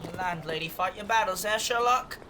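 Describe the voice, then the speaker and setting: A woman's voice: mid-range voice, brisk, close to an everyday voice. A female performer playing a guarded young leader of street urchins in an audio drama, terse and a little wry. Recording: clear background noise under voice.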